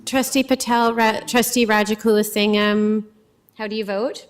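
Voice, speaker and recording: A woman chairing a school board meeting, calling a roll-call vote in a brisk, formal, lightly amused way. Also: mid-range voice, fairly even intonation.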